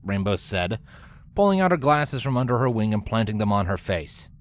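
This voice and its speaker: A man's voice: on the low side, sing-song, moderate pace. A man reading scripted story narration as the narrator of a tale.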